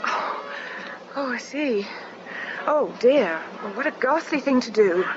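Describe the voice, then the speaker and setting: Radio drama wife: fairly high, polite, hesitant, uneasy; muffled, noisy recording. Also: female voice, expressive, takes it slowly, music in the background. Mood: shocked. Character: polite, uneasy married woman passenger.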